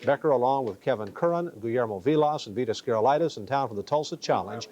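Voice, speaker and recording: A man's voice: mid-range voice, animated, fast, darkish tone, lo-fi sound. A man reading sports news as a television sports anchor. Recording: faint noise, 1980s TV broadcast.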